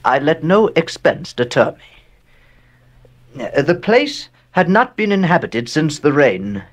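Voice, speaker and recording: An actor playing an old, bereaved, wealthy American man who narrates a horror radio drama in a measured, solemn way with dramatic pauses. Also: medium pitch, heavily acted.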